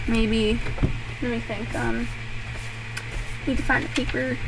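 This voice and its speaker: A young woman talks through a drawing lesson in a slightly high voice, rambling and self-deprecating. Her voice turns a little raspy at times. A clear hiss of noise sits under the home recording. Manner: rambling and self-deprecating, with frequent fillers and laughs. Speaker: drawing tutorial host. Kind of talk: casual drawing tutorial.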